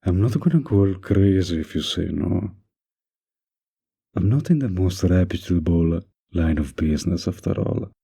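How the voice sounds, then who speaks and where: A man playing a mafia boss in an intimate roleplay audio, menacing yet kindly, with an unhurried, lightly teasing, flirtatious manner and a gravelly, husky voice. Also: sits low, animated, warm tone, full character voice.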